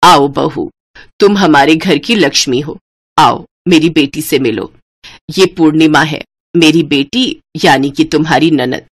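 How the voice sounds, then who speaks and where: A woman voicing a homely, middle-aged mother-in-law in a cartoon story, with a measured, motherly manner. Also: low-pitched, husky, voice pushed down, heavily acted. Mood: welcoming.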